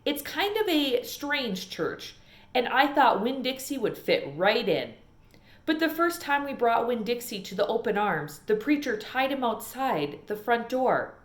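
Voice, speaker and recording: A woman narrates a children's novel as a read-aloud. Her voice sits a little high and her storytelling is expressive. The room gives a noticeable echo. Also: a little slow. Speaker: storybook reader. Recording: echoey room.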